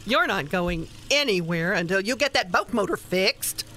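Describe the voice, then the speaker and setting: A woman's voice: medium pitch, animated, moderate pace, sharp tone. A woman playing a bossy, teasing older grandmother in a radio play, dryly exasperated with her husband and scolding in a no-nonsense way. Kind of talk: small-town radio play acting.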